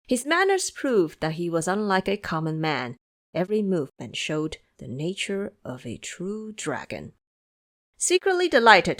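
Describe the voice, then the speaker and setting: Animated female storyteller. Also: medium pitch. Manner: expressive storytelling. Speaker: narrator. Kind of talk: dramatic scripted audio drama narration.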